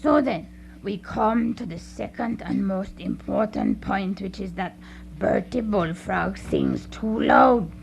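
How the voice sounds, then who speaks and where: Lady doing a pompous animal chairperson, radio play, slow and creaky, bit of background noise. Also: medium pitch, huge pitch swings, exaggerated voice. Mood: orderly. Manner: pompous, deliberate, orderly. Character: earnest, self-important chairing animal, national animal. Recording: faintly noisy live radio recording.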